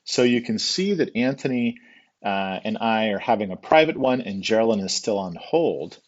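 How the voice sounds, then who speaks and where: Low-voiced man teaching, slightly muffled. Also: expressive, moderate pace. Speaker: software tutorial instructor. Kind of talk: step-by-step spoken tutorial, informal.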